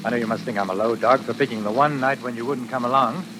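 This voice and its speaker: An actor playing a courteous, troubled stage actor with hidden menace, in measured 1940s radio drama style. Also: male voice, medium pitch, fairly even intonation, close to an everyday voice. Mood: tender, uneasy. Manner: polite, measured, faintly ironic. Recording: noisy, hissing old radio recording.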